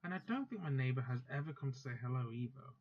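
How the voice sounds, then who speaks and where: A man's voice: mid-range voice, big pitch swings, fast talker, a little hiss or hum, natural voice. A man reading aloud the first-person narration of a proud teenage girl magus from a visual novel, in a plain voice that stumbles and corrects itself. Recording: dull lo-fi sound, faint music.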